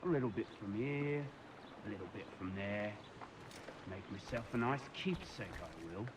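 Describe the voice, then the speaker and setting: An actor playing a low-born ruffian torturer in a video game, speaking in a rough, colloquial, relishing sing-song. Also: male voice, lively intonation, moderate pace, lightly acted. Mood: gleeful menace. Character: sadistic low-born male ruffian torturer, gleefully proud. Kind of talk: video game cutscene acting. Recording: noisy ambience behind the voice.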